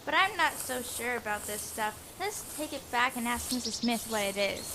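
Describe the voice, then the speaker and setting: A woman's voice: on the high side, lively intonation, moderate pace, background noise. A woman playing a breezy, confident young girl scout in an audio drama, casual and unbothered.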